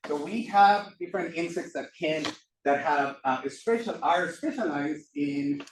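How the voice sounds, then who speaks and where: A man from Costa Rica giving a classroom lecture as a professor, halting with many fillers and restarts. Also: fairly high pitch, slight background noise. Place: lecture room. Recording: echoey room from far off.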